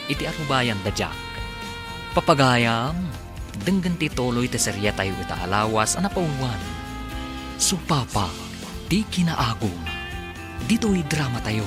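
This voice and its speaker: A man with an Ilocano accent narrating a radio drama serial in a dramatic, scripted announcer style. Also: mid-range voice.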